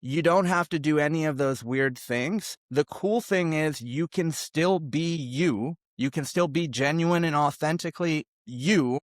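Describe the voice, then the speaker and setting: A man's voice: fairly high pitch, measured pace, hardly any pauses, no echo, studio quality. A man in his thirties coaching salespeople on sounding confident, speaking emphatically and over-articulating his words. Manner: emphatic, over-articulated, demonstrates example lines. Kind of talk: direct-to-camera sales coaching.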